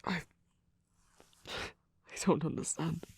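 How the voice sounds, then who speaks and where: A performer voicing a proud, wounded villain who is secretly vulnerable, in a hesitant, breathy ASMR romance roleplay with frequent whispering. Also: mid-range voice, fairly even intonation, heavily acted. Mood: weary, vulnerable. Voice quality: breathy, husky, often whispering. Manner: hesitant, halting, sighing.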